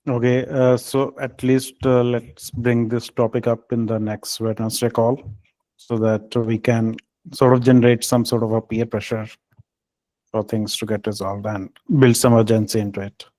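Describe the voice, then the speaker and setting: A man leading a technical team call, speaking in a halting, hesitant way with filled pauses. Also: mid-range voice, little pitch movement, warm tone, dry recording, poor audio. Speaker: meeting lead.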